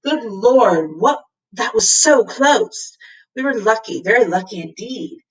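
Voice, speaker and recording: A woman's voice: a little high, animated, a little slow, distant mic, rough recording. A woman voicing an earnest, protective male professor in a children's story, recorded away from the microphone. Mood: relieved.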